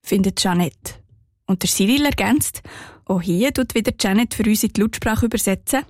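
A woman with a Swiss German accent narrating a scripted radio report in a calm, clear storytelling manner. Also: mid-range voice, normal speed, no echo, clean studio sound.

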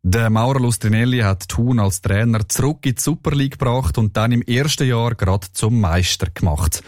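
A man's voice: medium pitch, moderate pace, a little mellow, no room sound, clean studio sound. A man with a Swiss German accent presenting sports news on the radio.

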